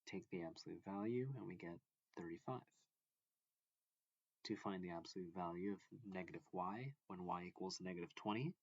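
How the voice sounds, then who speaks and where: Low-voiced man teaching math, echoey room. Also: highs cut off. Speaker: math teacher reading a textbook aloud. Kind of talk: instructional read-along of a math textbook.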